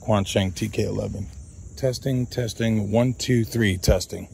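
A man's voice: fairly low pitch, steady tone, measured pace, gravelly, darkish tone, a little hiss or hum. A man hosting an outdoor radio range test, repeating test calls and calling to his dog in an open field, recorded on a body-worn camera. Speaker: radio hobbyist host.

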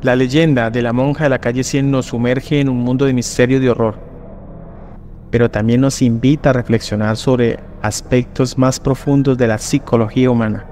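A man reading a scripted horror legend in first person, slow and solemn, with drawn-out pauses. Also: mid-range voice, fairly even intonation, slight background noise. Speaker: narrator. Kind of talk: dramatic scripted horror narration. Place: voice-over over rainy night images. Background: soft background music.

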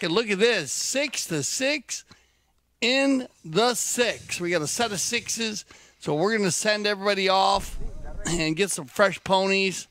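A man's voice: sits high, sharp tone, slight background noise. A man giving chatty, folksy color commentary on a live polo match.

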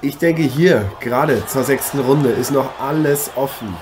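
A man's voice: mid-range voice, measured pace, close to an everyday voice. A man playing a chatty, joking boxing interviewer and commentator in an improvised mock sports radio play, rambling and hesitant. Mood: rambling, genial. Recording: clearly noisy amateur recording.

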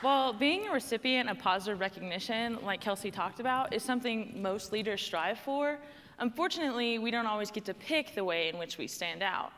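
A woman's voice: mid-range voice, fairly bright tone, long reverb tail. A young woman with a Texan accent giving a prepared personal speech on stage, telling her story in a conversational, emphatic way. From Texas. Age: young adult. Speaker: student panel speaker. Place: stage in a large hall.

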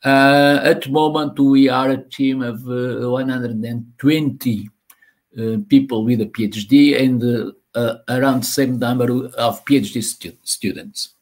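A man in his sixties from Portugal with a Portuguese accent, giving an online university lecture over a video call, talking haltingly with many repeated words and fillers. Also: medium pitch, steady tone, a little mellow. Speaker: university professor, guest lecturer. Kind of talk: online lecture presenting slides. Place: home or office room, on a video call. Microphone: laptop or webcam mic on a video call.

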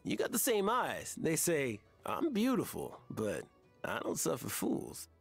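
An actor voicing a charming, warm young barman with a gravelly, husky voice in a cozy video game. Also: a little high, wildly swooping pitch, a little slow, soft background music, full character voice. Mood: charming, friendly.

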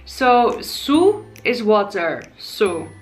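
A Dutch woman in her twenties with a Dutch accent, chatting on camera from her living room as she teaches basic words, and slowing down to spell them out. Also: mid-range voice, very animated. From Netherlands. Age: 20s. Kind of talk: casual vlog lesson. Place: living room, sofa, indoors. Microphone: camera in front, seated.

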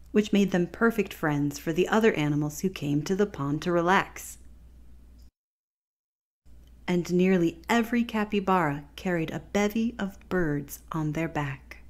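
A woman reading her children's picture book aloud as narrator, gently and expressively. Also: on the low side, normal speed.

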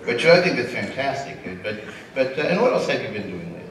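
A man's voice: mid-range voice, big pitch swings, fast talker, fairly bright tone, a little hiss or hum. A man moderating a convention panel, asking questions in an enthusiastic, joking way. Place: convention hall, audience present. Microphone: handheld mic, far camera. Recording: echoey hall from far off.

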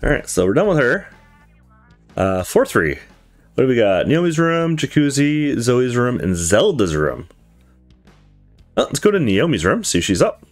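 A man narrates a visual novel playthrough in a casual voice of ordinary pitch. His intonation swings widely from line to line, and faint music plays behind him. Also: sing-song, normal speed, pauses often. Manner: casual, frequent swearing. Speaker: let's play streamer. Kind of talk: gameplay commentary, reading visual novel dialogue aloud.

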